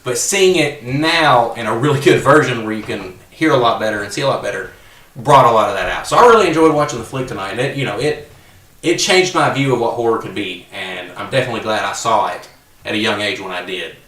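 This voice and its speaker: A man's voice: medium pitch, animated, brisk, a bit bright, far from the mic. A man co-hosting a podcast, chatting loosely at a table and rambling with plenty of fillers. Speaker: co-host. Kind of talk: casual roundtable chat. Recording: echoey room, away from the mic.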